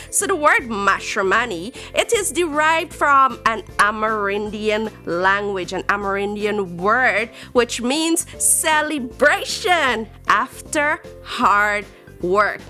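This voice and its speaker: Woman, Guyanese accent, children's storyteller, slow dramatic emphasis, highly expressive melody. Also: soft background music. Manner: theatrical, exclamatory, drawn-out emphasis.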